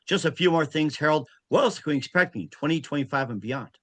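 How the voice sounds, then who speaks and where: A man's voice: a little high, animated, quick pace, fairly bright tone, no room sound. A man hosting a talk show, chatting casually and putting informal questions to his guest. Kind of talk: casual interview chat.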